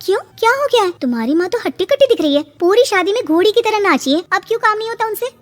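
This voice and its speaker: Woman playing a shrill, indignant young daughter-in-law. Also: lively intonation, very fast, soft background music. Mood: shocked, disbelieving. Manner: whiny, complaining, melodramatic.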